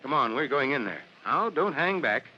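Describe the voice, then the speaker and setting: A man's voice: medium pitch, big pitch swings, normal speed, lightly acted. A man playing a kindly, firm fire chief who speaks in a probing, avuncular way, in 1950s radio drama. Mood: probing, concerned. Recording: muffled, noisy old radio recording.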